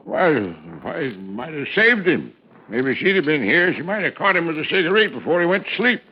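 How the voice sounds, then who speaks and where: A man's voice: fairly high pitch, very animated, fairly quick, breathy edge. An actor playing a talkative, folksy older male lodge foreman with a rustic drawl, in a 1950s radio drama. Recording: dull old radio broadcast.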